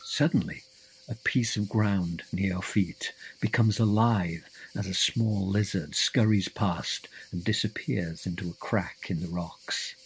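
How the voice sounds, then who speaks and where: A man narrating a scripted guided visualization in a measured, deliberate way, giving instructions in short phrases. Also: low voice, a little hiss or hum, rough recording.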